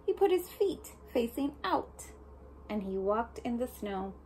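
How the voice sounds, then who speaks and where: Animated, high-voiced woman reading to children. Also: measured pace, a little hiss or hum, rough recording. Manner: expressive, questioning the listener, speaking as to small children. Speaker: storybook reader for young children. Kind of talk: read-aloud of a picture book with asides and questions to children.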